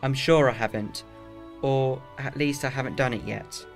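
A man's voice: mid-range voice, normal speed, gravelly, over music, natural voice. A man playing a serious, hesitant time traveller in a full-cast audio drama. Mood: puzzled.

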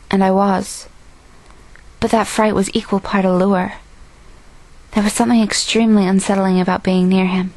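A woman's voice: medium pitch, darkish tone, no echo, close to an everyday voice, poor audio. Woman acting guarded teenage heroine. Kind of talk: amateur audition line reading.

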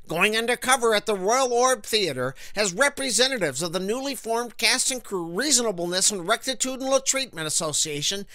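Bright, theatrical male serial announcer. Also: extremely high pitch, no echo. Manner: theatrical, grandiose. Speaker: narrator, announcer. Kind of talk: scripted melodramatic serial narration. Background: music.

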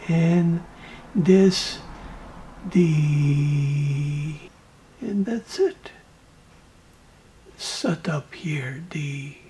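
Man, tutorial narration, extremely slow pace, clipped phrases, breathy whispered endings, faint background noise. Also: on the high side, mellow. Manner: halting, clipped short phrases. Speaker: electronics project presenter.

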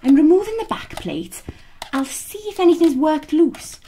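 An actress playing a plucky, practical, sharp-tongued female archaeologist in an audio drama, teasing and matter-of-fact. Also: high voice, animated, moderate pace, a little hiss or hum.

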